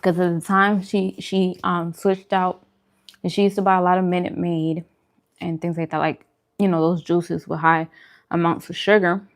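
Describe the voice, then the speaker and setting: A woman's voice: on the low side, level tone, moderate pace. A woman vlogger chatting casually while eating, talking in an informal, musing, conversational way. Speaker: vegan food vlogger.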